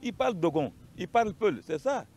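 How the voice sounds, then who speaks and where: A man's voice: high voice, sing-song, fairly quick, a little mellow. A man with a Malian accent giving an unscripted account as a panel guest, emphatic and repeating his phrases.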